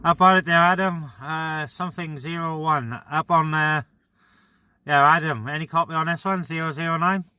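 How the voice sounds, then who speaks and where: A man's voice: a little high, fairly even intonation, moderate pace, pushed and bright, smooth. A man chatting casually and informally as a vlogger and CB radio operator. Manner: matey, informal. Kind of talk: casual radio chat and vlog talk. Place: outdoors on a mountain top.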